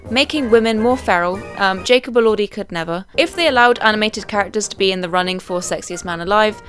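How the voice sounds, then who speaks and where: A woman's voice: mid-range voice, fast talker, a bit bright, faint music behind. A young adult woman hosting a video essay, reading scripted commentary with wry, conversational asides.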